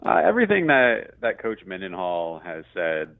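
A man's voice: low-pitched, wildly swooping pitch, normal speed, no room sound. A man talking sports as a radio play-by-play guest, in a chatty, conversational analysis style. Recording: muffled, band-limited phone-like line.

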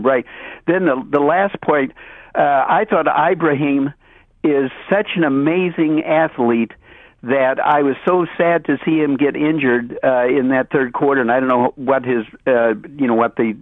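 A man calling in to a sports talk show, chatting casually and wordily, often halting and repeating himself. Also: a little high, no room sound. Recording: dull band-limited phone call on radio.

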